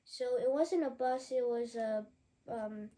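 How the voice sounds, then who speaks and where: A young woman with a sore, hoarse throat from allergies, giving a casual, rambling book review with many fillers and restarts. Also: fairly high pitch, measured pace, warm tone.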